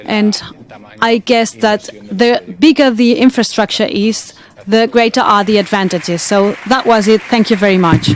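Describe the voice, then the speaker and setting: A speaker wrapping up a conference presentation in English, deliberate and hesitant with frequent fillers. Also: medium pitch, steady tone, slight background noise.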